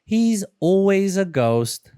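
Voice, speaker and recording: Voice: mid-range voice, very animated, slow, dark tone, smooth, no room sound, studio quality, pitched above the performer's own voice. A storybook reader voicing an earnest, eager and curious young boy turtle with exclamatory delivery. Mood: friendly. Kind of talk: children's storybook character voice.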